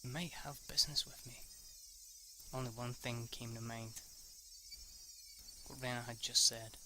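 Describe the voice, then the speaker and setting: A young man reads a visual novel aloud in a casual, unpolished way, often tripping over words and restarting sentences. His voice sits at a middle pitch. Insects and crickets chirp clearly in the background of his homemade recording. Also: fairly even intonation, bright, forceful tone, slight background noise, low-quality recording. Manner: reads haltingly, restarts lines and swears at slips. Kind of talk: casual let's-read narration.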